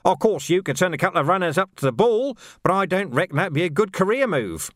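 A man's voice: high voice, lively intonation, fast talker, no room sound, studio quality, pitched above the performer's own voice. A man voicing a menacing, unhinged male sergeant who talks with sneering mock politeness.

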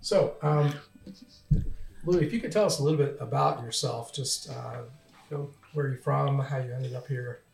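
A man hosting a casual podcast, reading a scripted intro and then asking relaxed questions with fillers. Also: mid-range voice, moderate pace, creaky. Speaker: podcast host.

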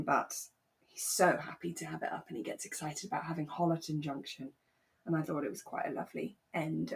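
A woman's voice: deep voice, gravelly. A British woman co-hosting a podcast, chatting casually and informally as she recaps a radio drama. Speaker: podcast co-host.

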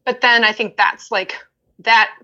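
A woman's voice: fairly high pitch, steady tone, unhurried, bright, forceful tone, lo-fi sound. A woman guest candidly telling a personal story in conversation, emphatic and acting out what people said to her. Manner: open, emphatic storyteller who acts out quotes.

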